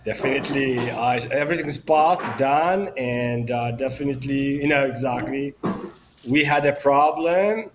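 A man in his forties with an Ethiopian accent, a native Amharic speaker, answering in a remote interview in a halting way with many fillers. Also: medium pitch, noisy background. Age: 40s. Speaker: guest journalist and author.

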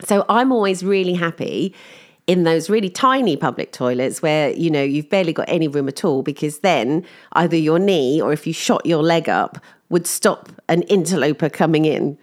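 A woman chatting casually to listeners in a personal update, slightly croaky from lack of sleep, laughing as she tells embarrassing stories. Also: low voice, expressive, normal speed. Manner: chatty, self-deprecating, laughs while telling stories. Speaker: vlogger.

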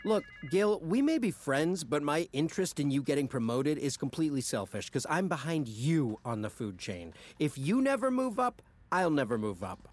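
An actor playing a crass, self-interested office best friend in a comedic audio drama, blunt, slangy and exasperated. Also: male voice, a little high, very animated, normal speed, a little mellow. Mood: blunt, cynical.

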